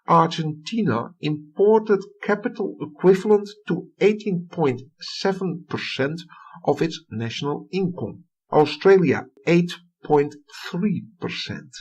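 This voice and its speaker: A middle-aged man with a Dutch accent lectures on history, reading from a prepared text. His voice is husky and sits fairly high for a man. He leans on key words with deliberate emphasis. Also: darkish tone, dull audio. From Netherlands. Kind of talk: recorded academic lecture.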